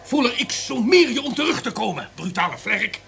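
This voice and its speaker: An actor playing a ruthless, commanding radio station director in a radio drama, imperious and authoritative. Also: male voice, very high voice, sing-song, fairly quick, vocal fry, crowd noise, exaggerated voice. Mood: angry, commanding.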